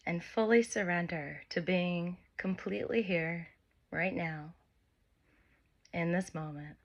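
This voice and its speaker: A woman leading a guided meditation, speaking gentle instructions in short phrases with long pauses between them. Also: fairly low pitch, highs cut off. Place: by the open air with wind and birds.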